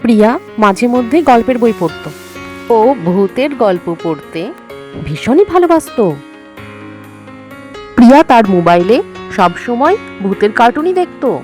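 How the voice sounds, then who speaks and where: একজন নারী বাচ্চাদের ভূতের গল্প লিখিত পাঠ থেকে বর্ণনা করছেন।. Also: female voice, fairly high pitch, big pitch swings, a little slow, long pauses, darkish tone. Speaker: storyteller. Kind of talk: scripted children's horror story narration. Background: faint music.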